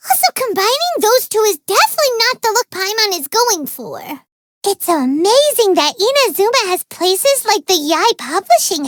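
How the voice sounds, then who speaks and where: A woman voicing a small, childlike fairy companion in a video game, excitable, cheeky and easily offended, with a squeaky, melodramatic delivery. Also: no room sound, heavily acted. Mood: disappointed, then flustered excuses. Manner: speaks of self in third person, exclamatory, melodramatic. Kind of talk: animated video game voice acting.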